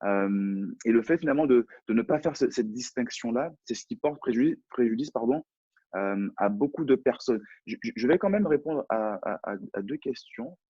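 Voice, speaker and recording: A man's voice: mid-range voice, expressive, normal speed, mellow, breathy edge, no room sound, muffled recording. A French man, a personal development coach, talking in a live online chat, hesitant and often repeating words. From France.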